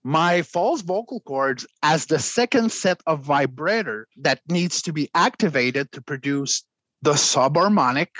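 A man, a guest vocalist, explaining extreme singing in a conversational interview, clearing his throat often between demonstrations. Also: on the high side, animated, unhurried, fairly bright tone, no room sound.

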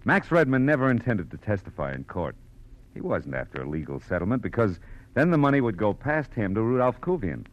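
An actor playing a tough, shrewd private detective in a classic radio drama, with a wry, clipped, slangy delivery. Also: male voice, on the low side, very animated, fairly quick. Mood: coolly confident. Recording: muffled noisy old radio recording.

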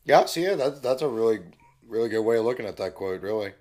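A young man co-hosting a casual podcast, hoarse from losing his voice, easygoing and agreeable. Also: fairly low pitch, lively intonation, quick pace, a little mellow. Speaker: podcast co-host. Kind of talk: casual chat.

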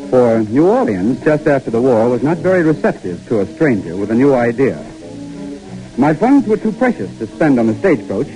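An actor playing an earnest, good-humored young English pickle peddler in a 1950s radio drama. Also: male voice, medium pitch, natural voice, poor audio. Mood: earnest narration. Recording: old radio broadcast with faint music.

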